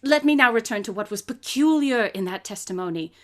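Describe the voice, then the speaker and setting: A woman's voice: a little high, expressive, brisk, fairly bright tone. A woman playing a brilliant, eccentric detective in a live radio drama, coolly superior, lecturing in precise, didactic speech. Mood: lecturing, emphatic. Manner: pompous, didactic, precisely articulated. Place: closet or desk at home.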